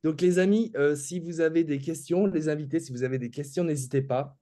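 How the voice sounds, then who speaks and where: A man hosts an online meeting in a relaxed, friendly way, with a mellow, warm voice. He keeps inviting the guests to speak up or write in the chat. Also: a little high, a little fast.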